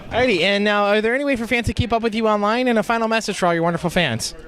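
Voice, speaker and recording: A man with a clearly high-pitched voice works as the interviewer. He puts his questions in a casual, conversational way. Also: very fast, a bit bright.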